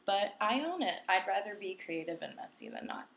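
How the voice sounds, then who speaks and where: A woman's voice: medium pitch, wildly swooping pitch, brisk, creaky, fairly bright tone. A young woman from New York chatting casually to camera at her home desk, a little tipsy, talkative and giggly with lots of ums. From Long Island, New York. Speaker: spiritual vlogger. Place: home desk at night, candles.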